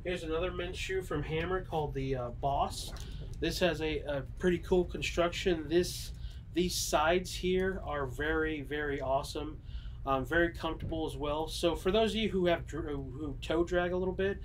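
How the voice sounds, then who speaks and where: A young man casually showing bowling shoes in a small pro shop, relaxed and full of ums and uhs, heard from a camera a few meters away. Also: on the high side, moderate pace, a little hiss or hum. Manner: easygoing, many ums and uhs, showing items in hand. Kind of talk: casual product walkthrough. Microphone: camera mic at a few meters.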